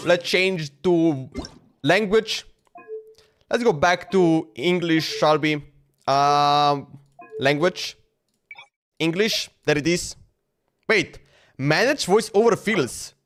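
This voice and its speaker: A man in his twenties with a Slovenian accent and a high voice. He chats casually as a game livestreamer, rambling and breaking into exclamations. Faint music plays behind him. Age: 20s.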